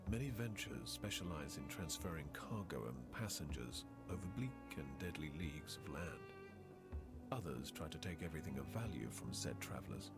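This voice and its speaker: An actor playing a world-weary, brooding narrator of a ruined fantasy land in a video game intro, grave and theatrical, with hushed word endings. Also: male voice, extremely low pitch. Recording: loud music under the voice.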